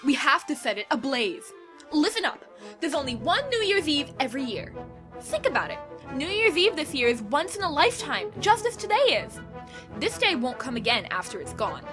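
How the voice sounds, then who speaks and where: Woman doing a bossy teen girl ringleader, high and bouncy, always pushing everyone, music behind. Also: big pitch swings, normal speed. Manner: commanding, emphatic. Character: bossy, energetic teenage girl leader. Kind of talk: fan audio drama acting. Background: background music.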